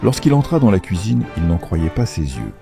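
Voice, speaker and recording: A man narrating a children's fairy tale from a script in an expressive, playful storytelling manner. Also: low-pitched, normal speed, vocal fry, music in the background.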